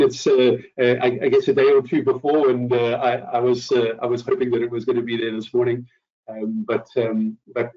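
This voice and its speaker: A man hosting an informal product update webinar, speaking haltingly with repeated words and rephrasing, over an online call. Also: mid-range voice, a little mellow. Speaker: host presenter. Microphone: online meeting call. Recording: muffled lo-fi online call, distant mic.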